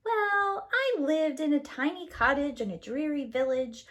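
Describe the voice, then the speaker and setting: A woman voicing a cheeky, chatty young boy in a storybook, quick to argue back. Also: high-pitched, fairly bright tone, pitched above the performer's own voice. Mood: cheerful. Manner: cheeky, argumentative.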